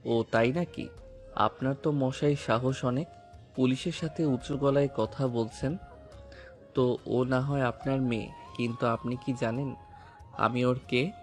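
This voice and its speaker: A young police officer husband played by a man in a romantic audio drama. He is commanding and confrontational, protective and angry, in a medium-pitched voice with faint music underneath. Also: fairly even intonation, a little slow, natural voice.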